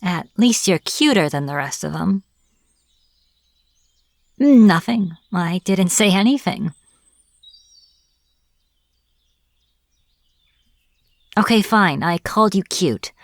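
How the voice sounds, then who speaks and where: A woman playing a smug, teasing witch in an audio roleplay, taunting a captive in a playful, deliberate manner. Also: lowish voice, expressive, no room sound. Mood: flustered, defensive. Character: smug teasing young-sounding witch, captor of a hunter. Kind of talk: audio roleplay, acted monologue to the listener.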